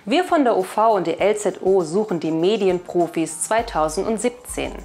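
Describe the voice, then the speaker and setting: A woman's voice: medium pitch, expressive, normal speed, dark tone. A woman in her thirties with a German accent presents scripted local news as the host of a weekly video bulletin. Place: indoor video studio. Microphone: lapel mic.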